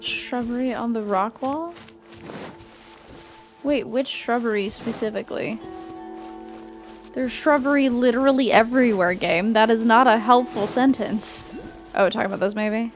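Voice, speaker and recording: A woman's voice: on the high side, unhurried, long pauses. A woman game streamer giving casual commentary, thinking aloud in an informal way. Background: faint game music.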